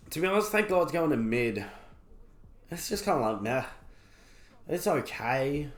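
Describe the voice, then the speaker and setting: A young man with a croaky voice, recovering from being sick, casually reviewing an album and rambling with lots of fillers. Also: medium pitch. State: croaky voice after illness. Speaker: music reviewer. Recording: roomy echo.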